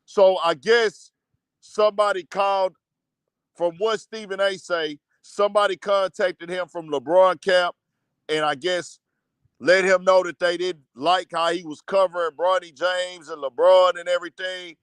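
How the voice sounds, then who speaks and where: A man hosts a casual livestream commentary show and rants, blunt and profane. His voice sits high and comes through smooth and clear. It is recorded close on a dry mic with no room sound. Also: steady tone, unhurried, fairly bright tone, no echo. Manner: blunt, profane, heated rant.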